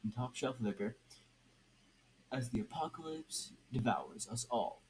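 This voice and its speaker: A man playing a cynical, grumbling magician, unhurried. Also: medium pitch, animated, a little mellow, close to an everyday voice. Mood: bleak, sardonic. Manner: sneering, sardonic. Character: grumbling, cynical chain-smoking male occult magician. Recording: noisy amateur home recording.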